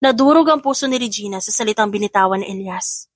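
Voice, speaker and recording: A woman's voice: medium pitch, fast, dry recording. A woman giving scripted narration of a romance story in Tagalog.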